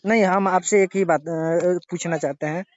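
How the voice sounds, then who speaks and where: A man arguing haltingly as he questions someone in a confrontational phone interview, often cutting in to press his point. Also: high voice, fairly even intonation, no echo. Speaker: interviewer questioning a singer over a phone call. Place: phone call recording. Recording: dull lo-fi recorded phone call.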